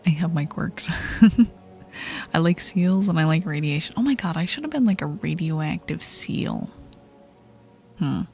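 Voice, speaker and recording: A woman with a deep voice streaming ASMR, soft-spoken and whispering, giggly and playful as she chats. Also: animated, takes it slowly, gravelly, pauses often, faint music behind.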